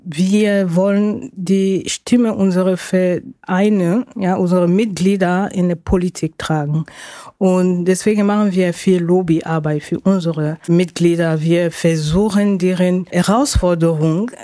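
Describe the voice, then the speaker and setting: A woman with a non-native German accent answers interview questions off the cuff. Her voice is mellow and she speaks haltingly, in a dry, close recording. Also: on the low side, fairly even intonation, dry recording. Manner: halting, searching for words. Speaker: association board chair, interview guest.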